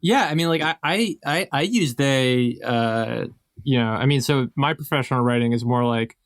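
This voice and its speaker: A man co-hosting a podcast in casual chat, with an informal, halting and wry way of talking. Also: medium pitch, no echo, clean studio sound. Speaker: podcast co-host.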